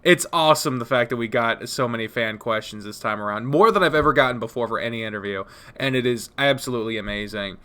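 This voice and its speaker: A man hosting a casual interview, excitable and loose, swearing freely and laughing often. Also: medium pitch, quick pace, hardly any pauses. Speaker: interview host.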